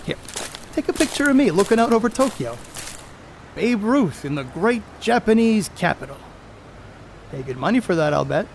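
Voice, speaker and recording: A crude, boastful middle-aged baseball star acted by a man in a period stage play. His voice sits high and swings freely as he tosses out cocky slang. The recording has clear background noise. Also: big pitch swings, moderate pace, pauses often, heavily acted. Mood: careless, joking. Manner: cocky, slangy, coarse-mouthed. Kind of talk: stage play acting, 1930s American banter.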